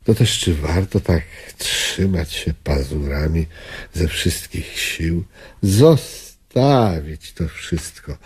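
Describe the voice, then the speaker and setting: An actor playing a dead old friend in a radio drama, a husky older man who coaxes and lures theatrically. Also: low voice, a little mellow, heavily acted. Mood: luring, tender. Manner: coaxing, seductive, theatrical. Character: dead old friend, a coaxing male ghost.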